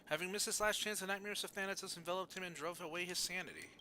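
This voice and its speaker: A man's voice: high voice, fast talker, pushed and bright, a little hiss or hum. A man streaming a video game, commenting on the play and reading game text aloud.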